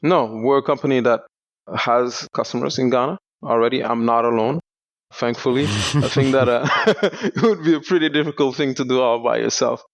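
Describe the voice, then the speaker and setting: A young man from Senegal, a startup founder, explaining his work in a measured way in a podcast interview. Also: mid-range voice, vocal fry, no room sound. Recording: dull lo-fi dry sound.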